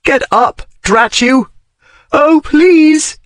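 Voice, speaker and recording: Lydia, a fiery Regency heroine, put on by a male audiobook narrator, pitched high and tight as she scolds and pleads in turn. The storybook read comes through dry and close, with no room around it. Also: very slow, no echo, full character voice. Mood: desperate pleading. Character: fiery young Regency lady, headstrong and distraught.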